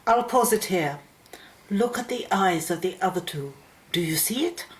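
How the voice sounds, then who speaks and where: A woman's voice: lowish voice, animated, moderate pace, natural voice. A woman playing an urgent, determined woman scientist in a radio play, grave and wary. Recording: roomy, echoing studio sound.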